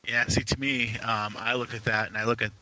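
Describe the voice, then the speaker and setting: A man's voice: mid-range voice, little pitch movement, fairly quick, pushed and bright. A man, a podcast guest, chatting casually about tabletop gaming. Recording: clearly noisy line with clicks.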